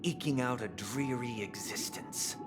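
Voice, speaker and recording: An actor playing a polished, authoritative male leader in a video game, speaking formally in measured rhetorical phrases. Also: mid-range voice, close to an everyday voice. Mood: persuasive, grave. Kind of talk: scripted video game voice acting. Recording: game music under the voice.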